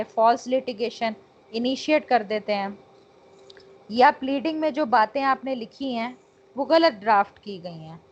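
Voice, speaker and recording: A woman with a Pakistani accent giving an instructional law lecture point by point, mixing in English technical terms. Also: fairly high pitch. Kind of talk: exam-prep lecture, explaining point by point. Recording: dull, lo-fi lecture recording.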